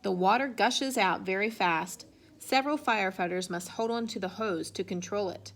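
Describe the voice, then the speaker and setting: A woman reading a children's picture book aloud in an expressive way. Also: mid-range voice, normal speed. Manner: expressive, acts out sound effects and exclamations. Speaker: storybook reader.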